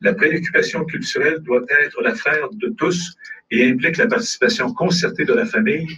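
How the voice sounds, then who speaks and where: A man giving measured, formal scripted narration in a played video. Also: mid-range voice. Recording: distant, muffled video playback with noise.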